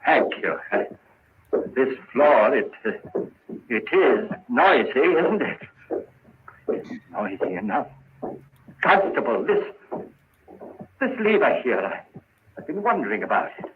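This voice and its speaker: A man's voice: mid-range voice, big pitch swings, takes it slowly, long pauses. An actor playing a guilty, tormented madman in a 1940s radio drama, stammering and pleading melodramatically. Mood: nervous, restless. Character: agitated guilty madman tormented by imagined heartbeat. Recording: muffled, noisy old-time radio recording.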